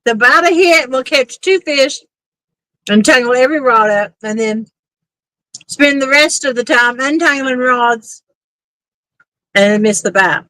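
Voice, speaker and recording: Bright-voiced woman fishing. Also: high voice, a little slow, long pauses, dry recording, low-quality recording. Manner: easygoing, laughs often. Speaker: fishing streamer. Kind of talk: casual chat while fishing. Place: outdoors on a pontoon boat on a lake.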